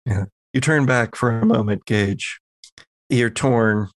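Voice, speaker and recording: A man's voice: mid-range voice, takes it slowly, no room sound, studio quality. A man, narrating a tabletop horror roleplaying game as game master.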